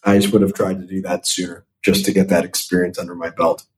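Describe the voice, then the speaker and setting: Young male investor guest, low-ish voice, reflective, restarts sentences often. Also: little pitch movement, quick pace, darkish tone. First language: Italian. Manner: thoughtful, repeats words when starting sentences. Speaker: venture capital investor, guest. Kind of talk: podcast interview answers.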